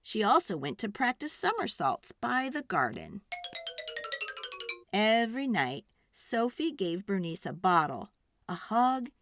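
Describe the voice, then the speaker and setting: A woman reading a children's storybook aloud in a dramatic, expressive and deliberate narration. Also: mid-range voice, faint music behind.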